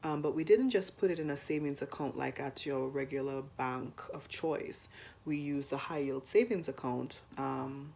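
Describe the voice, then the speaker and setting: A young woman co-hosting a personal finance chat to camera, hoarse while getting over the flu, chatty with frequent ums. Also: rumbling low, normal speed, darkish tone. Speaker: co-host of a personal finance channel.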